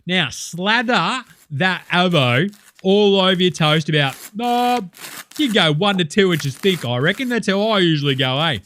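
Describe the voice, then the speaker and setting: Bloke doing a loud crude aussie cooking show host, bright forceful voice, faint hiss behind. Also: male voice, high voice, animated, moderate pace. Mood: instructive. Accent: Australian. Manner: crude, boisterous, slangy, playing to a studio audience. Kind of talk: comic sketch, cooking show parody.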